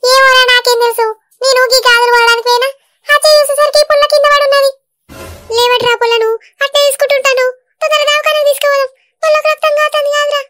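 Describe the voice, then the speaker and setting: A squeaky, bright cartoon mother-in-law who fusses loudly in a Telangana Telugu accent. She talks very fast and very high. Her village manner is bustling and excitable. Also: faint music behind. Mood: alarmed. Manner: loud, fussing, quick Telangana village talk. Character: fussy village mother-in-law, middle-aged woman. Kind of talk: cartoon voice acting.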